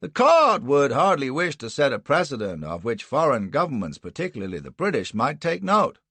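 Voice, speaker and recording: A man voicing a pompous, blustering defense lawyer in a courtroom, booming and overbearing. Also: wildly swooping pitch, moderate pace, a bit bright, husky, dry recording, heavily acted. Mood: indignant.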